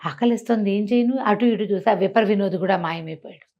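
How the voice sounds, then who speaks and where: A slightly lo-fi recording of a woman. She tells a folk tale in a middle-pitched voice, chatting it out to a listener and slipping into the characters' dialogue as she goes. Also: brisk, gravelly, a little mellow. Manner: conversational, acts out dialogue, checks listener follows. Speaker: storyteller. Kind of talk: animated oral storytelling of a moral folk tale to a listener.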